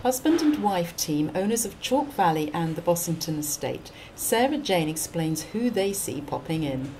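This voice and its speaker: A woman reporter narrates a local news segment in a brisk, light-hearted way. Her voice sits a little low, and a faint hum of noise runs under it. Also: very animated, mellow, poor audio.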